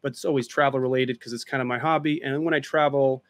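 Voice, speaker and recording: A man chatting casually in an interview over a video call, explaining at length in a relaxed way. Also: medium pitch, fast talker, no room sound. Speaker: drone filmmaker guest.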